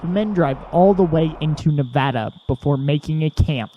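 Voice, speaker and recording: A man serves as narrator of an audio drama. His voice is a little higher than most men's, reading scripted scenes with faint ambient noise behind. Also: moderate pace, lo-fi sound.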